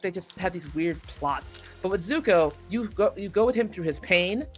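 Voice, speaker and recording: A woman chatting casually as an interview guest, excitable, effusive and expressive in the way she talks. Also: fairly low pitch, normal speed, faint music behind. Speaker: voice actor guest being interviewed.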